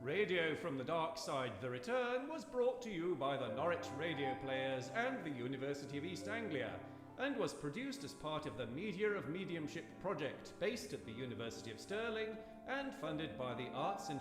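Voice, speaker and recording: Male announcer: formal scripted sign-off, expressive pitch swings, music behind, echoey live venue. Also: normal speed. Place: live performance hall.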